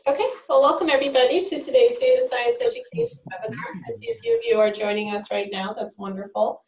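A woman's voice: high-pitched, very animated, fast talker, creaky, a little hiss or hum. A woman hosting a webinar, reading an upbeat introduction of the speaker. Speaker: webinar host. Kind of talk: scripted introduction. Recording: echoey, from far off the mic.

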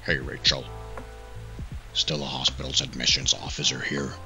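A man voicing a calm, kindly old werewolf with a rumbling, gravelly voice in a fan-dubbed visual novel. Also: moderate pace, exaggerated voice. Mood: calm, friendly. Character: gruff, kindly old werewolf man, hospital admissions officer. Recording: music behind the voice.

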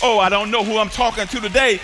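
A high-pitched, bright-toned man preaches from a church stage, swinging from quiet stretches to shouted peaks and calls for the congregation to answer. His voice rings through a large hall with a long reverb tail. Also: fairly even intonation, fast, soft background music. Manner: preaching with rising shouts and call-and-response. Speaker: pastor. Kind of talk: sermon.

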